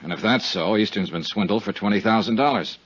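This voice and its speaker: An actor playing a wry, probing insurance investigator in a 1950s radio detective drama, with dry, skeptical, clipped delivery. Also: male voice, on the low side, quick pace, noisy background, lightly acted. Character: wry, probing freelance male insurance investigator narrating his case. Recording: dull 1950s radio recording, music behind.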